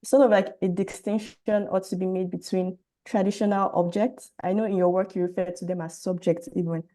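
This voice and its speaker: Moderator: woman, mellow tone, affirming, frames questions carefully. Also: mid-range voice, level tone, a little fast. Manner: affirming, frequent backchannels. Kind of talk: panel discussion.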